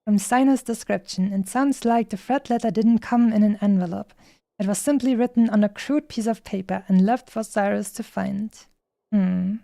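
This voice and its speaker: A woman's voice: medium pitch, normal speed, gravelly, warm tone, dry recording. A woman livestreaming a game with casual commentary, musing and drifting into playful, rambling asides. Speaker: streamer playing a video game.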